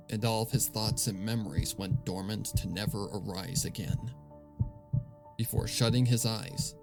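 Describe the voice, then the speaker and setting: A man reads dark fan fiction in a lowish, creaky voice. His scripted narration is grave and deliberate, set over faint music. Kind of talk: scripted dramatic horror narration.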